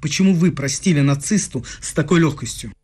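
An actor plays a bitter man from a radio drama, pitched a little high. He accuses in pointed, insistent phrases, pressing each point rhetorically. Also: brisk, very noisy, lightly acted. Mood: bitter, mocking. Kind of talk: radio play acting.

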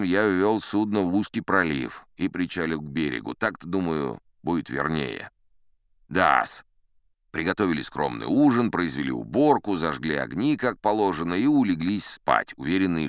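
An actor playing a chatty, boastful old sea captain, spinning tall tales in a theatrical audiobook manner. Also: male voice, low voice, sing-song, moderate pace, clear, resonant tone, dry recording, studio quality. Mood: wry recounting. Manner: theatrical, leisurely, old-fashioned conversational raconteur. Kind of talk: dramatized audiobook storytelling.

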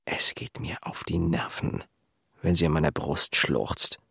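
An actor playing an uneasy, selfish young clerk, muttering his private thoughts quietly in a literary radio drama. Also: very deep voice, lively intonation, measured pace, vocal fry, slightly rough, no room sound, studio quality, full character voice. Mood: irritated.

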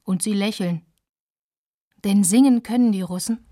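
An actress playing a granddaughter who recalls family life after the war in spare, deliberate radio narration. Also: female voice, mid-range voice, warm tone, dry recording, clean studio sound, lightly acted. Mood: wry, reflective. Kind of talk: literary first-person radio narration.

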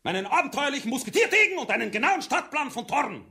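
High-pitched, boastful fugitive captain. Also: male voice, sing-song, quick pace, sharp tone, exaggerated voice. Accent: Austrian. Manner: theatrical, grandiose. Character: boastful fugitive captain begging the Tsar for mercy. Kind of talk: radio drama acting.

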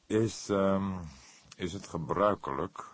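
A man voicing a calm older police detective in an audiobook, probing in a hesitant, deliberate, quietly sceptical way. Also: low-pitched, dry recording.

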